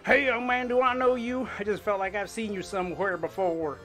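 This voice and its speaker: A man voicing a twangy middle-aged male ranch hand, reading his lines high and drawling. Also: fairly even intonation, brisk, pushed and bright, faint music behind. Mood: gruff. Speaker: game character read aloud.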